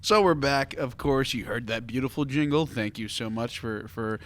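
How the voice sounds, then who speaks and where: A man's voice: mid-range voice, moderate pace. A man hosting a podcast in a casual, conversational chat style. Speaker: podcast host.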